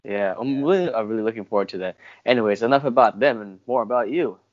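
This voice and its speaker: Low-voiced young man interviewing, haltingly. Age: young adult. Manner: halting, hesitant when framing questions. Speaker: interview host. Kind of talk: casual, unscripted interviewing. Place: indoor room at home, video call. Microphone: wired earphones on a video call. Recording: dull, muffled video-call audio.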